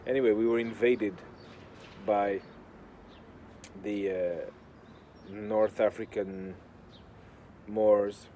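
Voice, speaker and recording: A man with a Portuguese accent and a fairly low, very mellow voice talks casually to his viewers. He is sardonic and deadpan, swearing freely as he rambles. Faint urban works noise sits behind his voice. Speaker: video host. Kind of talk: casual rambling talk to camera. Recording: clear outdoor urban construction noise. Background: noise of building works.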